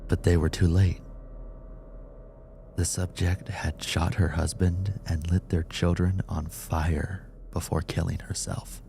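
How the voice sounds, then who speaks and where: Male audiobook narrator for horror: low register, unhurried, suspenseful, dramatic scripted read. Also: flat delivery, vocal fry, dark tone, a little hiss or hum. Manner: deliberate, suspenseful.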